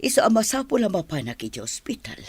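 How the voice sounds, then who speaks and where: A woman's voice: medium pitch, very animated, fast, darkish tone, slightly rough, no room sound. An actress playing an emotional, kindly woman schoolteacher in a radio drama, with a Filipino accent. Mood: apologetic, reassuring.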